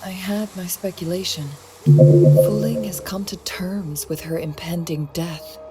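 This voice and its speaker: A shrewd, mysterious young woman strategist from a video game, played by an actress. Her voice sits a little low and moves very slowly, with elegant composure. Prominent music plays behind her. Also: fairly even intonation, lightly acted. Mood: calm, contemplative. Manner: measured, composed, deliberate, elegant.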